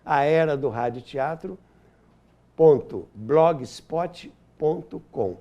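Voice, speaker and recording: An older man with a Brazilian accent and a soft, mellow tone, pitched a little high. He speaks as an interviewed historian, stressing points and repeating words while he finds his thread. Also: slow pace, lo-fi sound. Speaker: interview guest, radio historian and author. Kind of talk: anecdotal interview talk.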